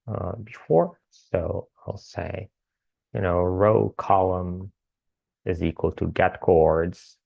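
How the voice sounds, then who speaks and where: Slow, gravelly-voiced male lecturer. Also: sits low, no echo, lo-fi sound. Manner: casual, thinks aloud with many fillers. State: slightly hoarse. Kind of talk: live coding lecture.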